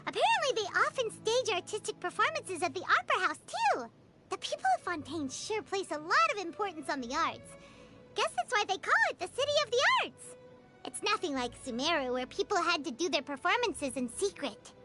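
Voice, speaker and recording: A tiny, childlike game companion girl with an extremely high, squeaky voice. She is excitable and quick to take offence, and her pitch swings wildly over loud background music. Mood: chatty, curious. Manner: speaks of herself in third person, excitable. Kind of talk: animated video game dialogue.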